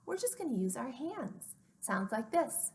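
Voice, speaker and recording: A woman hosting a story time for small children, speaking in a simple, expressive, child-directed way as she narrates. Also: high-pitched, a little slow, a little hiss or hum.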